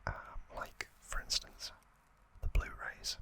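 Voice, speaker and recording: A man whispers in an ASMR style, close to the microphone. His delivery is very slow and deliberate, and the whisper has a bright, sharp edge to it. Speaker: ASMR video creator and host.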